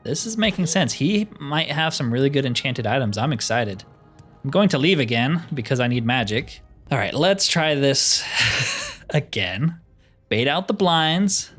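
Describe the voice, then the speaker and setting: A man's voice: on the high side, fairly bright tone. A man giving casual, chatty gameplay commentary as a video host, thinking aloud in an easygoing way.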